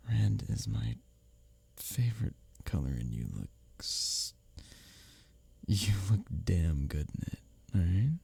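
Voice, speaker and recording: A man acting an arrogant, teasing office rival who is secretly smitten, in an intimate audio roleplay, often breathy and near a whisper. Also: low-pitched, sing-song, warm tone, exaggerated voice. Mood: flustered, confessing. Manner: smirking, teasing, halting when flustered. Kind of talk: intimate ASMR audio roleplay addressed to the listener.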